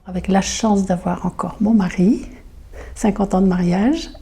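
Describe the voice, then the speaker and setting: A woman in her seventies with a gravelly, creaky edge to her voice and a very dark, mellow tone. She speaks in a measured, reflective way. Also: mid-range voice, fairly even intonation. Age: 70s. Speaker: lay associate of a religious congregation. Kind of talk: recorded personal testimony.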